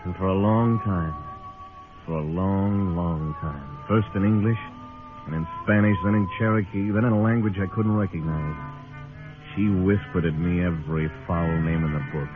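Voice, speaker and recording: Stern, steady frontier marshal, played by a male actor, American, low laconic voice, vintage radio western with music behind. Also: moderate pace, close to an everyday voice. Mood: grave, reflective. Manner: laconic, hard-boiled delivery. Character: stern, steady frontier marshal telling his own story. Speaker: narrating lead actor. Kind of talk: old-time radio western acting with first-person narration. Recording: tinny old radio recording with music.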